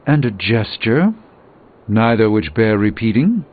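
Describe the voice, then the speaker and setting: A man's voice: lowish voice, very animated, measured pace, phone-quality sound. A man voicing an erudite, self-assured middle-aged sorcerer in a video game, speaking in precise, wordy, pompous phrases. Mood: brisk, lecturing.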